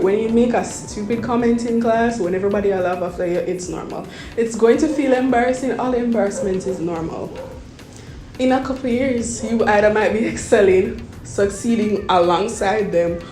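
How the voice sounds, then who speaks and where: A woman's voice: mid-range voice, darkish tone, reverberant. A Jamaican woman, a medical student, giving earnest, reassuring advice to first-year students and repeating points for emphasis.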